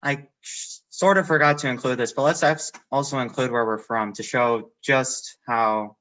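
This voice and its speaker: A teenage boy from South Dakota hosting a live panel, talking quickly with restarts. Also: mid-range voice, fairly even intonation, fairly bright tone, poor audio. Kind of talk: casual live Q and A moderating.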